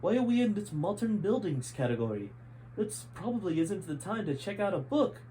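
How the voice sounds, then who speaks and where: A man voicing a slow-witted male sidekick asking puzzled questions in a read-aloud story. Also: sits high, big pitch swings, mellow, slight background noise, pitched above the performer's own voice, low-quality recording. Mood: curious.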